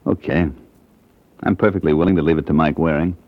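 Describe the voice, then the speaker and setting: A low-pitched American man as a slangy, cocky piano player with blackmail on his mind. The sound is dull and dated, like an old radio crime drama. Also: steady tone, fairly quick, no echo. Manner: slangy, glib. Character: cocky small-time jazz pianist turned blackmailer. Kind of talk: 1940s-50s American radio detective drama acting.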